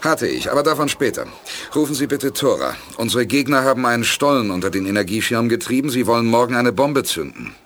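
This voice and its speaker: An actor playing a decisive, self-assured space commander, composed and authoritative, in a 1980s German radio play. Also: male voice, medium pitch, very animated, brisk, fairly bright tone, slight background noise. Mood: urgent.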